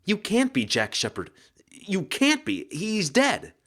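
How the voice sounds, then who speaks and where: An indignant, rattled woman , acted by a male narrator, pitched well up and swinging widely. She is feisty and frightened at once, protesting with agitated emphasis, as a heroine in a mystery audiobook. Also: huge pitch swings, takes it slowly, a bit bright, full character voice. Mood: disbelieving. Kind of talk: audiobook character acting.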